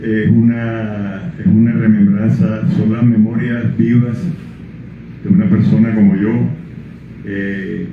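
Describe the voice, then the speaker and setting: A man's voice: on the low side, little pitch movement, a crowd in the background. Older man, echoey lo-fi sound. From Colombia. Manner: halting, with many restarts and fillers when speaking freely. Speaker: author presenting his book. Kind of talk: reading prologue, then answering questions. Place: book presentation event. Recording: echoey room over a tinny lo-fi line.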